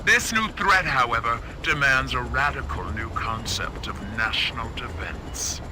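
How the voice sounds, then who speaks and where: A man's voice: medium pitch, expressive, noisy background, close to an everyday voice. Calculating American agency chief acted by a man. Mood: cool, calculating. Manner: measured, formal, persuasive.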